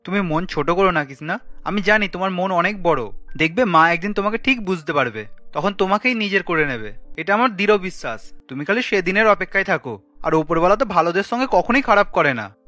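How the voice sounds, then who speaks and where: Man voicing a high, bright young husband. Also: lively intonation, fairly quick, no room sound, faint music behind. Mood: consoling. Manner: earnest, consoling. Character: caring, apologetic young husband.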